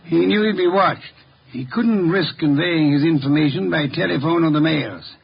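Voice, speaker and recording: Haughty genius detective, highish gravelly voice, formal and pompous, muffled fifties radio drama. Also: male voice, moderate pace, heavily acted. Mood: explaining. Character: brilliant, eccentric, haughty middle-aged male detective. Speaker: radio actor in a detective series. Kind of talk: 1950s radio drama acting. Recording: muffled 1950s radio recording.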